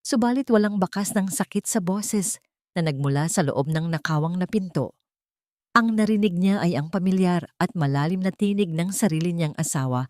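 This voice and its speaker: A woman with a slightly low voice narrates a dramatic tale. Her delivery is solemn and literary, as a scripted storyteller's. The sound is dry, with very little room around the voice. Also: a little mellow, no room sound.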